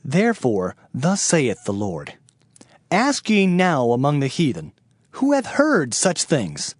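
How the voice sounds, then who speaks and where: A man giving a dramatized scripture narration as an audio Bible narrator, solemn and declamatory with deliberate phrasing. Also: a little high, huge pitch swings, dry recording, studio quality. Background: background music.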